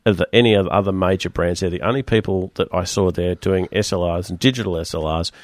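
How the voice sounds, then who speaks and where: A fast-talking man hosting a tech radio show, his voice on the low side and hoarse and croaky. He rambles along in a casual, animated way, and the studio sound is dry and close. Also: no echo.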